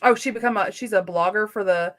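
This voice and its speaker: A woman's voice: medium pitch, brisk. Informal woman chatting about books. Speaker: book podcast co-host. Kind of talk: casual book discussion.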